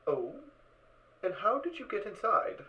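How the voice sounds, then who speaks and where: A man playing a curious, chatty robot watcher in a fan audio drama, with animated, inquisitive delivery. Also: sits high, measured pace, highs cut off. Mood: curious, friendly. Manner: animated, inquisitive, hesitant phrasing.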